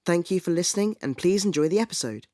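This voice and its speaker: Higher-pitched man announcer, dry sound. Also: lively intonation, fast talker, darkish tone, dry recording. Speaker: podcast announcer. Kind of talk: scripted show introduction.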